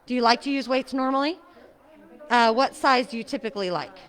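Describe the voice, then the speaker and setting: A woman's voice: on the high side, a little fast, fairly bright tone, some chatter behind. A middle-aged woman, a fitness instructor, chatting casually before class, her voice muffled by a face mask. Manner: chatty, friendly, laughs often. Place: seniors' center exercise room.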